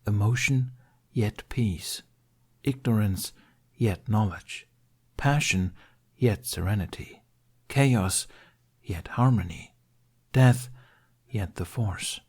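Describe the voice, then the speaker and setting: A man voicing a wise, gentle older Jedi master in a story, measured and counselling. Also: on the low side, slightly rough. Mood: solemn. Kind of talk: storybook character voice.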